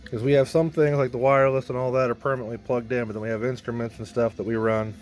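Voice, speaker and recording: A man's voice: medium pitch, flat delivery, fast talker, a little mellow. A man narrating a hands-on gear vlog in a casual, informal way, thinking aloud as he works. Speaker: band member showing a PA rack rebuild.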